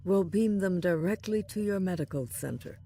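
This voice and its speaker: A woman playing a composed, diplomatic starship officer in command in an audio drama, measured, calm and dry. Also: on the low side, a little hiss or hum, lightly acted.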